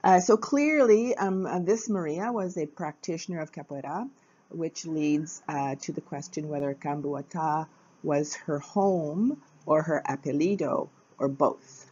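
A woman narrating a short capoeira lesson video in a casual, halting way, with frequent uh fillers between phrases. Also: low voice, mellow, husky, muffled recording. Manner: hesitant, many uh fillers, plain. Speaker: capoeira teacher.

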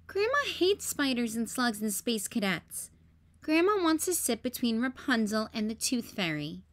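A woman's voice: fairly high pitch, sing-song, measured pace. A woman reading a storybook as a bossy, puzzled young big-sister bunny, in an emphatic, exclaiming way. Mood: firm. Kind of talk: children's storybook read-aloud.

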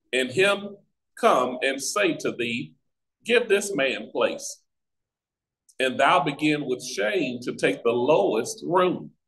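An American man teaching a Bible study as a pastor, with deliberate, emphatic phrasing and pauses, over a video call. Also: fairly high pitch, fairly bright tone, poor audio. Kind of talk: Bible teaching with scripture reading. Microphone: video call microphone.

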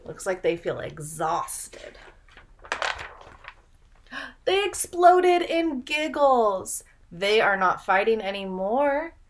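A young woman reading a picture book aloud to children in an expressive, dramatic way, pausing to ask the listener questions. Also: mid-range voice, slight background noise. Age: young adult. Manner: dramatic, drawn-out delivery with gasps and prompting questions. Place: small room at home, indoors.

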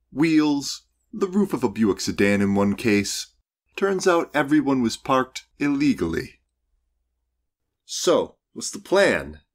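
Guy reading a short story audiobook, wry and expressive, pitch goes all over the place. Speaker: audiobook narrator. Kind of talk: scripted short story narration.

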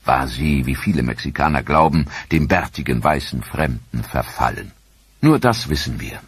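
A man narrating a documentary in a dramatic, expressive scripted manner with rhetorical questions. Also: extremely low pitch, moderate pace, breathy edge, no room sound.

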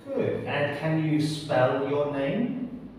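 A man's voice: a little high, sing-song, extremely slow, a little mellow. A man in his thirties working as an English teacher, asking simple exam questions and giving short, encouraging praise. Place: small bare classroom, indoors. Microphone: far camera microphone. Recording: echoey room, distant microphone, faint noise.

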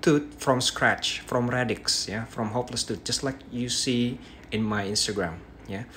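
A man's voice: medium pitch, pushed and bright, slight background noise. A young man with an Indonesian accent teaches a live dental demo from a small bedroom, a phone held close. His throat is a little sore, and he talks in restarts, tagging lines with "yeah". Faint room ambience sits behind him. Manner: halting, frequent "yeah" tags and restarts. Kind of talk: live-stream teaching, reading viewer questions. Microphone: phone held close at arm's length.

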